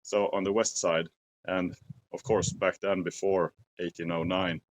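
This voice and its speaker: A man in his forties with a Swedish accent, talking slowly and thoughtfully to camera outdoors in a forest at dusk, pausing to search for English words. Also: low-pitched, level tone. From Sweden. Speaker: outdoor vlogger. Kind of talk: casual talk to camera.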